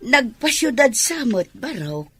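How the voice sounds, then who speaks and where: A woman's voice: a little high, sing-song, measured pace, a bit bright, faint music behind. A woman with a Filipino accent playing an older village auntie in a radio drama. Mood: concerned.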